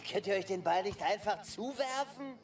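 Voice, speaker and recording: Actress as annoyed neighbour, mid-pitched, animated, flustered, radio play. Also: female voice, moderate pace, music in the background, close to an everyday voice. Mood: exasperated. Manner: exasperated. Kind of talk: German radio crime drama acting.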